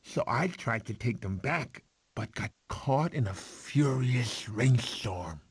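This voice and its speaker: A man's voice: medium pitch, animated, slow pace, slightly rough, dry recording, heavily acted. A man voicing a goofy, sentimental cartoon sloth uncle who rambles fondly as he tells a baby a story. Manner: rambling, fussy, doting storyteller. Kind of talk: animated film character narration.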